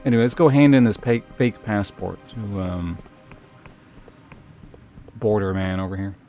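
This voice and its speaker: A man's voice: lowish voice, measured pace, warm tone, faint music behind. A man giving casual, rambling gameplay commentary as a streamer, thinking aloud as he plays. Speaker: game streamer.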